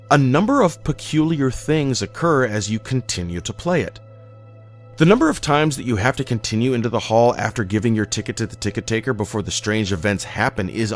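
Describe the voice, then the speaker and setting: A man narrating a scripted horror story in a dramatic, deliberate storytelling manner. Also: medium pitch. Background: background music.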